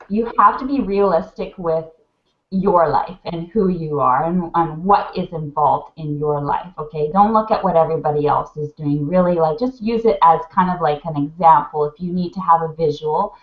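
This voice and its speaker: A woman mentor, sniffly from crying, gushing and rambling with lots of fillers while giving casual advice on a live video call. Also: on the low side. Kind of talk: heartfelt casual advice chat. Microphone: webcam on a video call. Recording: roomy, dull webcam audio.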